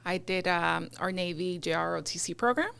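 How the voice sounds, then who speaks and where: Gravelly-voiced woman from Honduras. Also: on the low side, fairly bright tone. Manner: friendly, measured, frequent fillers and laughs. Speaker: guest, utility external affairs manager. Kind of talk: casual interview chat.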